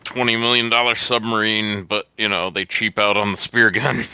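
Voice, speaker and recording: A man's voice: on the low side, little pitch movement, normal speed, pushed and bright, smooth, no echo. A man co-hosting a casual movie commentary podcast, joking and laughing often. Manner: laughs often, rambling jokes. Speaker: podcast co-host.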